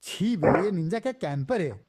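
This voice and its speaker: A man with an Indian accent fires off short gamer taunts. His voice is high and very animated in pitch, with a cheeky, slangy, teasing delivery. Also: normal speed, noisy background. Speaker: gamer voicing in-game voice pack phrases. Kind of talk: short taunting game callouts in street slang.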